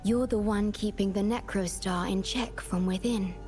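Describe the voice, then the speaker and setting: Game role: actress as composed, devoted woman guide, gentle formal delivery, middle register, soft music underneath. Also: mid-range voice, fairly even intonation, normal speed, lightly acted. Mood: grave, composed. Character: composed, devoted woman guiding a companion on a mission. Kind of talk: video game voice acting.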